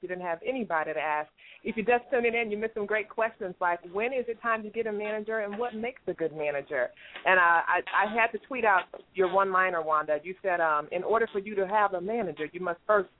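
A woman hosting a music-business talk radio show, animated and playful with her guests. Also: medium pitch, slight background noise. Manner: animated, teasing, quick reactions. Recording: tinny phone line.

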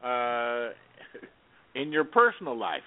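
A man's voice: mid-range voice, very animated, slow pace. A man talking politics on a casual talk radio show, earnest and exasperated, rambling through fillers as he goes. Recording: tinny phone-like radio audio.

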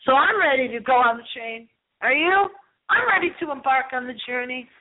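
High-voiced theatrical woman on a phone-like line. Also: expressive, a little fast. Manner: theatrical, repeats phrases, drifts into asides. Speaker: radio show host. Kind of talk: dramatic, rambling late-night horror movie show monologue. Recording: thin, phone-like lo-fi sound.

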